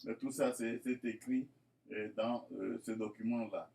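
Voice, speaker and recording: A man's voice: fairly low pitch, fairly even intonation, measured pace, creaky, darkish tone. A man from Congo presenting his school project in a formal, churchly way, with many fillers and repeated words. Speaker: school founder addressing inspectors. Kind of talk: hesitant spoken presentation.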